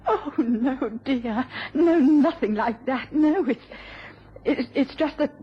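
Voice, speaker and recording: High-voiced, gentle, anxious wife. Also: female voice, breathy edge, heavily acted. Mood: tearful, fearful. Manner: polite, halting, breaking off when flustered. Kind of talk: radio drama acting. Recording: dull, noisy old radio recording.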